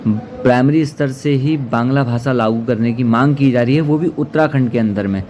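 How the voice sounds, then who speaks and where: A man's voice: mid-range voice, normal speed, darkish tone, slight background noise. A man presents a political explainer as an activist, speaking earnestly in formal diction. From Uttarakhand, India. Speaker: activist commentator. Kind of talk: political explainer to camera or voice-over.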